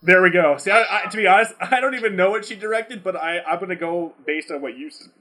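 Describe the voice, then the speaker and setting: A man's voice: sits high, fast talker, sharp tone. A man co-hosting a podcast in casual chat, talking in a profane, slangy and exclamatory way. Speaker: podcast co-host. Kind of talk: casual chat answering fan questions.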